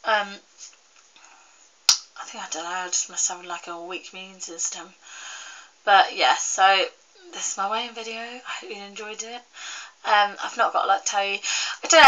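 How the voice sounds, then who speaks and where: A young woman vlogging in a casual, rambling chat to camera, full of fillers and restarts, her voice a little croaky and congested from a cold. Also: mid-range voice, pushed and bright, muffled recording. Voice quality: slightly croaky.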